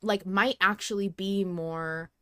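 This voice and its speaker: A woman's voice: medium pitch, fairly even intonation, slow pace, pushed and bright, clean tone, no echo, studio quality. A woman hosting a podcast, talking casually in an emphatic, analytical way. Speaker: podcast host. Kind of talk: casual discussion on a fan podcast.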